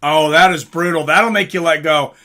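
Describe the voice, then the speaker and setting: Male livestream fight commentator, slightly high and very bright voice, informal and conversational. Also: normal speed, slight background noise. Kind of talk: casual live fight commentary with chat asides.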